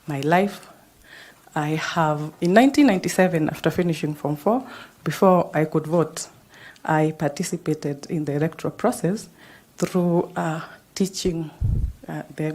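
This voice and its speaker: A Kenyan woman in her forties introducing herself formally to an interview panel, measured and halting, with frequent fillers and restarts. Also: deep voice, a little mellow. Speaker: job candidate.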